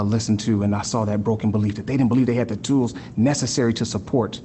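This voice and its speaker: An earnest man presents to a live audience at an ordinary, middle pitch. He leans on rhetorical questions and a habitual "right?", slowing down to stress key points. A faint low rumble sits under the recording. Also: darkish tone. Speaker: school district director giving a talk.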